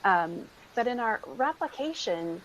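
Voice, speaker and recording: A woman's voice: fairly high pitch, very animated, measured pace, a little hiss or hum, rough recording. A woman researcher explaining findings as an interview guest, repeating phrases for emphasis.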